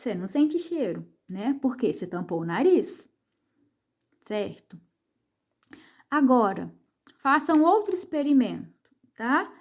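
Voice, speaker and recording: A woman's voice: on the high side, very animated, measured pace, pauses often, slightly rough. A middle-aged Brazilian woman teaching a science lesson to children, chatty and full of tag questions, asking and answering her own questions. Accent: Brazilian Portuguese. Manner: frequent tag questions to the viewer.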